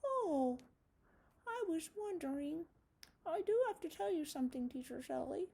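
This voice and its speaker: A woman's voice: high-pitched, expressive, moderate pace, vocal fry, mellow, a little hiss or hum, pitched above the performer's own voice. A woman voicing a friendly, inquisitive little male spider puppet who sounds childlike and unsure, in a children's lesson. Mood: confiding. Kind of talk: puppet talk for young children.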